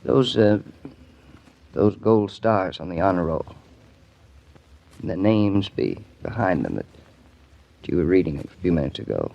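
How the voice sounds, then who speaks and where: An actor playing a thoughtful, somewhat hesitant young architect husband in a 1940s radio drama. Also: male voice, deep voice, lively intonation, unhurried, creaky, long pauses, mellow, highs cut off, exaggerated voice.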